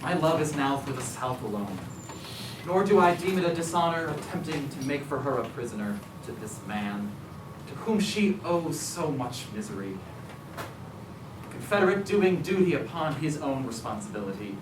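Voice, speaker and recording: A man's voice: on the high side, very animated, moderate pace, background noise. A man playing a grieving, vengeful Confederate zealot in a musical theatre staged reading, speaking in a solemn, declamatory way. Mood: bitter resolve. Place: indoor restaurant staged reading. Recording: roomy live restaurant, distant mic.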